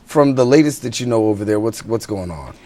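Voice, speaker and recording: A man hosting a TV interview, asking questions in a relaxed way with loose phrasing. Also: fairly low pitch, brisk. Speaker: TV talk show host.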